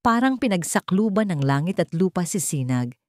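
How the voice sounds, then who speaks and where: Low-pitched woman narrating a dramatic story. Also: big pitch swings, moderate pace, no room sound, clean studio sound.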